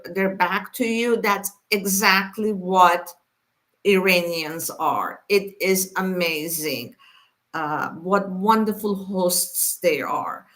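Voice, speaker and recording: Slow, easy-going woman hosting a livestream. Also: medium pitch, a bit bright. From Iran. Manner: unhurried, many fillers, speaks straight to viewers. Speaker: host of a weekly live inspirational session. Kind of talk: casual live-stream talk.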